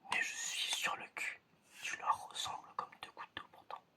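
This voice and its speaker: A breathy whisper with a bright, crisp edge carries this ASMR roleplay. The speaker is chatty and playful, hesitating and repeating little phrases while acting as an examiner running simple tests. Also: normal speed. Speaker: ASMR creator playing an examiner giving sense tests.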